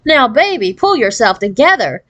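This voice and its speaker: A woman's voice: a little high, big pitch swings, moderate pace, fairly bright tone, pitched above the performer's own voice. A woman reading a storybook as a bossy, pushy mother talking to her young daughter. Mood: bossy, impatient.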